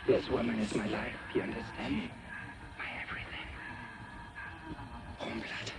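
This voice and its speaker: A sinister, sadistic henchman with a low, creaky voice and a German accent. He savours his words in a video game, over clearly audible background noise. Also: little pitch movement, measured pace. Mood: menacing. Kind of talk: video game cutscene acting. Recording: loud noise behind the voice.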